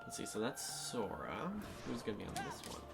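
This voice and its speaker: A man's voice: fairly low pitch, very animated, a little fast, gravelly, music in the background. A man co-hosting a gaming show, chatting casually while he plays, with laid-back, brief, half-distracted replies. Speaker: co-host of a gaming show, playing. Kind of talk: casual chat while playing a game.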